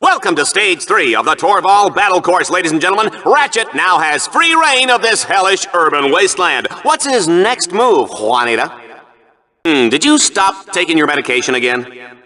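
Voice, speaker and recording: Brash video game sports announcer, high-pitched, swooping delivery, showy, punchy, gleefully callous, over-the-top game show style. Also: male voice, sing-song, moderate pace, bright, forceful tone, full character voice. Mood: gleeful menace, then wry banter. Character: brash, excitable male TV announcer of deadly arena games. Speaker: sports commentator.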